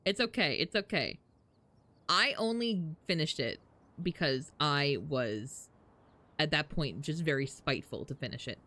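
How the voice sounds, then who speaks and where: A woman's voice: sits low, expressive, a little slow, pushed and bright. A woman livestreaming a game, chatting casually with viewers in a sweary, informal way. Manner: sweary, prone to laughing. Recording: faint game music behind. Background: faint music.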